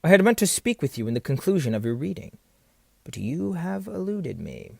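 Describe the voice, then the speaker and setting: A man's voice: a little high, very animated, moderate pace, gravelly, a little mellow, no echo, studio quality, exaggerated voice. A man playing a proud, volatile sea captain in an audio drama, indignant and theatrical in formal period diction. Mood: bitter, restrained. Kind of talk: stage-play acting in an audio drama.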